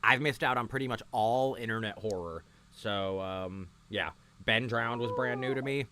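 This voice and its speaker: A man's voice: mid-range voice, normal speed. Casual man, blunt sweary reaction commentary. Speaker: livestream host.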